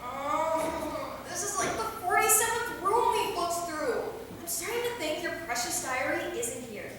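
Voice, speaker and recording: A wisecracking, cocky vampire acted by a woman in a staged reading. The voice is high and self-amused, heard far from the mic in a hugely reverberant hall with clear noise. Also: animated, measured pace. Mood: bored, flippant. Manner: joking, slangy, self-amused. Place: theater stage, recorded from a distance.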